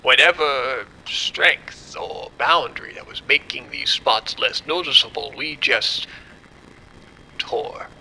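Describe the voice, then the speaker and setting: Male actor grim lead scientist, gravelly, very sharp tone, deliberate, commanding, cold, horror audio drama, faint rumble. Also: exaggerated voice, rough recording. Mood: grave realization. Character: cold, commanding male doctor covering up a disaster.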